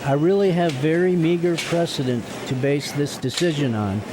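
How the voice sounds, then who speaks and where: A man playing an awkward, earnest, lovestruck young lawyer with a gently rebellious streak in a radio drama. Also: fairly high pitch, normal speed, noisy background, natural voice. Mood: awkward, smitten.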